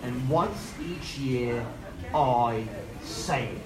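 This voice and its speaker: An actor playing a doomed, menacing ghost of a sea captain in live old-time radio horror style, speaking portentously with dramatic pauses. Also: male voice, medium pitch, crowd noise. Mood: grim, haunted. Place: on stage in a theater. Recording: echoey live theater, loud music behind.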